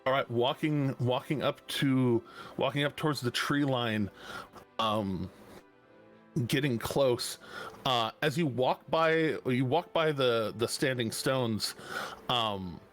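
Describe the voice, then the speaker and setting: A man narrating as game master, describing scenes slowly with frequent pauses and restarts. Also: mid-range voice, fairly bright tone, faint music behind. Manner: slow, halting, many restarts and fillers.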